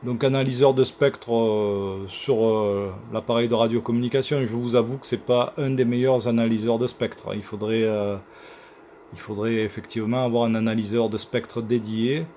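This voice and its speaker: A man giving an informal equipment demonstration as a repair technician, speaking haltingly with many hesitation sounds. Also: medium pitch, steady tone, mellow, a little hiss or hum. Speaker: radio repair technician presenting his work. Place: electronics workshop.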